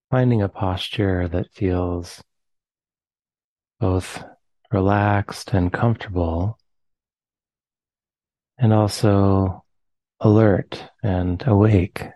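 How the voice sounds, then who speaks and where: A man with an American accent, leading a guided meditation in slow, soft phrases with long pauses. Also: lowish voice, steady tone, no room sound. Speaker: meditation teacher.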